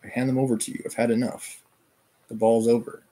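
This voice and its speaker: A man voicing a dying, delirious mother, hoarse and gasping between words, in a read-aloud novel. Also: mid-range voice, little pitch movement, fairly quick, warm tone, natural voice, poor audio. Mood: despairing.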